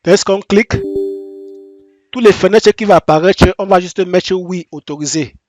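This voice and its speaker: Man teaching software in halting French. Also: high voice, no room sound, faint music behind. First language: English. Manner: step-by-step, repetitive instructions; halting non-native French with frequent restarts. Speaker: software tutorial presenter. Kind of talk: screen-recorded software tutorial.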